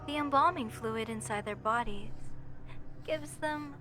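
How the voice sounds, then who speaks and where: A woman playing an obsessed, lovesick mortician in a horror audio roleplay, speaking tenderly and deliberately with sweet menace. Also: fairly high pitch, music in the background, close to an everyday voice. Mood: gleeful menace. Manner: slow, tender, deliberate, theatrical. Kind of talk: horror roleplay monologue addressed to listener.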